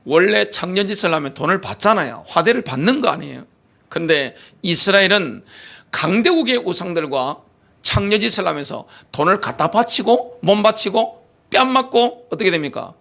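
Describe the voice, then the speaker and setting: A man's voice: high voice, very animated, moderate pace, gravelly, sharp tone. A male pastor preaching a sermon in a church, asking rhetorical questions and stressing his points emphatically. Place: church sanctuary.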